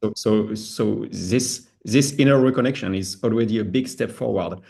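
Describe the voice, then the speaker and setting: Creaky-voiced man explaining informally. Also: lowish voice, moderate pace, dark tone. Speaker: webinar host and presenter.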